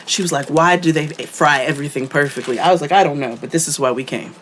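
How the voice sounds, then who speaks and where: A young adult man, co-hosting a casual podcast and telling stories with quick banter. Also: a little high. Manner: animated storytelling, quick banter. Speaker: podcast co-host. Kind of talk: casual chat. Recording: faint background noise.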